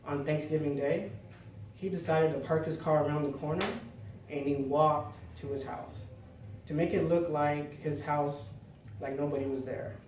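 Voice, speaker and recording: A man delivering a prepared storytelling speech, phrasing it deliberately and dramatically with pauses. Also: on the high side, fairly even intonation, darkish tone. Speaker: public speaker. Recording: roomy, distant mic, faint noise.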